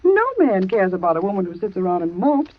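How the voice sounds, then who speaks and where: An actress playing a brisk, confident, sporty young woman in a 1940s radio drama, with a breezy, teasing manner. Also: medium pitch, big pitch swings, no echo. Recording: dry dull old radio recording.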